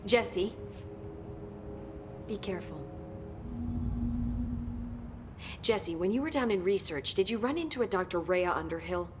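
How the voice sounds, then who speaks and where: A woman's voice: expressive, normal speed, natural voice. An actress playing an eager, precise, nerdy woman scientist in a video game. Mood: earnest, concerned. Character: eager, earnest woman scientist, brainy and intense. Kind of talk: naturalistic video game acting, technical explanation. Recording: faint rumbling background noise.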